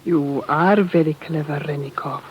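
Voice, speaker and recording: An actress playing a commandant's cool, teasing mistress in an old radio drama. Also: female voice, deep voice, steady tone, normal speed, natural voice. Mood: mocking, knowing. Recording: dull, noisy 1940s radio recording.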